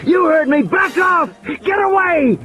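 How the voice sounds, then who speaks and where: A man voicing a panicked man who yells defiantly at the top of his lungs, with music behind. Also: extremely high pitch, lively intonation, a little slow, voice pushed up, exaggerated voice. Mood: panicked defiance.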